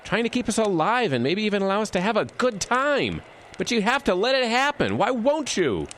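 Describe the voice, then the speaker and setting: An actor playing a stubborn, reassuring boyfriend in an audio drama, with an emphatic, expressive delivery. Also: male voice, high voice, brisk, slight background noise. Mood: hurt, exasperated. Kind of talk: audio drama acting with inner-monologue narration.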